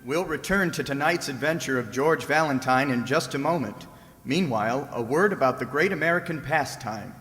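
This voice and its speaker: A man's voice: medium pitch, normal speed, fairly bright tone, faint music behind. A man announcing in scripted old-time radio style, delivering a sponsor message. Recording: echoey hall, long reverb.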